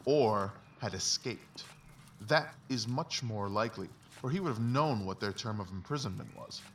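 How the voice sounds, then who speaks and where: A man's voice: lowish voice, lively intonation, normal speed, a bit bright. A man playing a confident, analytical Victorian detective who explains things in a precise, emphatic, didactic way, as in a dramatised audiobook. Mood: brisk, assured explaining. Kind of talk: dramatised literary audiobook dialogue. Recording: distant mic, faint background noise.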